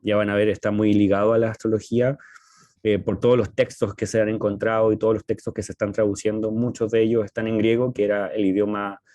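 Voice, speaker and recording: A man with a Chilean accent talking casually as a podcast co-host, in a halting, hesitant way with frequent pauses. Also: lowish voice, little pitch movement, mellow, no room sound. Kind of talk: casual conversational podcast talk.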